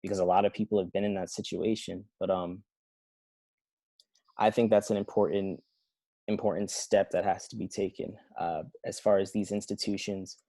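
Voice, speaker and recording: A man's voice: low voice, little pitch movement, normal speed, mellow, no echo. A young man in a casual group discussion, speaking reflectively and hesitantly with many ums. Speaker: participant.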